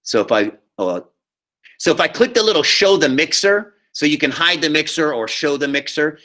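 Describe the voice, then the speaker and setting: A man teaching a high school class online, giving informal step-by-step instructions and often checking that students follow along. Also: lively intonation, normal speed, sharp tone. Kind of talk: live online class instruction, screen-share walkthrough.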